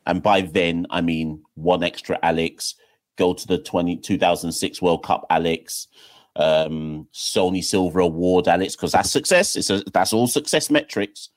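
A man's voice: sits low, normal speed. A man hosting a podcast, asking long, rambling questions in a chatty way. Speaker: podcast host. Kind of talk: casual interview chat. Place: room in London.

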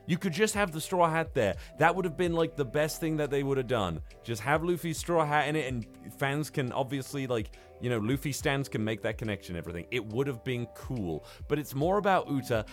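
A man's voice: mid-range voice, lively intonation, brisk, hardly any pauses, soft background music. A man in casual podcast chat about films, rambling and swearing freely as a host. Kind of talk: casual film review chat.

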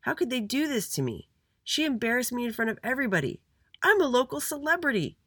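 A storyteller voicing a boastful, dramatic young schoolboy in a children's story, whiny and loud. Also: mid-range voice, big pitch swings, fast, breathy edge, no echo, clean studio sound, voice pushed up. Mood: indignant. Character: little Andy, boastful dramatic schoolboy recess monitor.

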